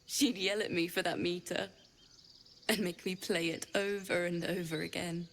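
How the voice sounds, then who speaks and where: A woman playing a gentle, grieving young tiefling bard in a video game, speaking in a halting, confiding way. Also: fairly low pitch, steady tone, slight background noise. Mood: tender, grieving. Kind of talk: video game drama acting.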